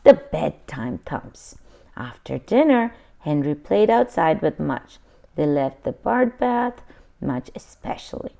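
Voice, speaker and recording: A woman's voice: low-pitched, very animated, slow, creaky, warm tone. A woman reading a children's picture book aloud expressively, adding simple asides for young listeners.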